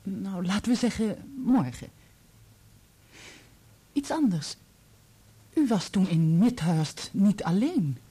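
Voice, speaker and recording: An actress in a Dutch radio play playing a composed, candid divorced woman who speaks in a measured, probing way. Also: mid-range voice, expressive, warm tone, breathy edge, heavily acted.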